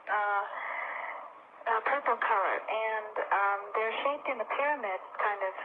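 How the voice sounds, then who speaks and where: A woman's voice: mid-range voice, a little slow, music in the background. A woman calling a radio show, asking questions in a polite, somewhat hesitant way. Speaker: caller. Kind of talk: radio call-in, casual questions. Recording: noisy phone line on old radio tape.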